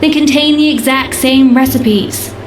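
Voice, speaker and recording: High-voiced, reserved woman chef. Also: steady tone, a little slow. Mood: puzzled, guarded. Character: reserved, slightly flustered former head chef, a proud woman. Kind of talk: video game voice acting. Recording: echoey room, faint noise.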